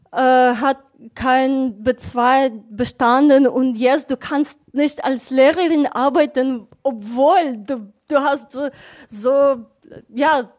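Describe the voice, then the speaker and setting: A woman moderating a panel discussion, asking questions in a halting way as she searches for words. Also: sits high, darkish tone.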